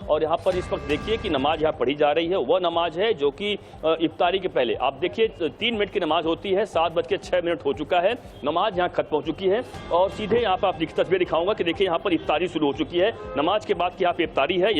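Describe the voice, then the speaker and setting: High-voiced Indian male field reporter. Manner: wordy, repetitive running commentary. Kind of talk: live on-location reporting. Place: outdoors on a city street outside a mosque.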